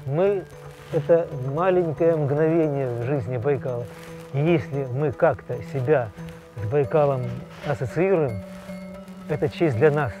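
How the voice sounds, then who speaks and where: A man speaking slowly and reflectively in an interview. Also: on the high side, over music, rough recording.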